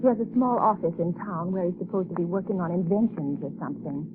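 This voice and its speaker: A spirited, earnest society woman, played by an actress in a 1930s radio drama, talking briskly. The old broadcast is tinny with a loud hiss. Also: lowish voice, lightly acted.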